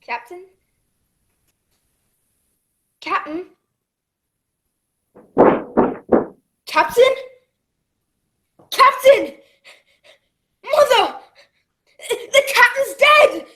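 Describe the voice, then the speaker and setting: A woman playing an earnest, excitable young boy who acts and narrates his adventure in a radio play. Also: extremely high pitch, full character voice. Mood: alarmed. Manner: dramatic, deliberate storytelling.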